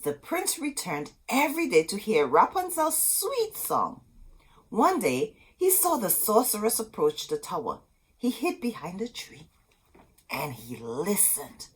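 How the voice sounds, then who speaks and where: A woman storyteller reading a storybook aloud expressively, in short dramatic phrases with pauses. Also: medium pitch, huge pitch swings, breathy edge, low-quality recording.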